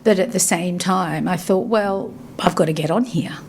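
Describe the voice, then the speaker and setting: Gravelly low-voiced woman with refined London accent. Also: moderate pace, a little hiss or hum. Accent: refined London English. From Yemen. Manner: reflective, many fillers and restarts. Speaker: interview guest. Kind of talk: casual interview conversation. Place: radio studio.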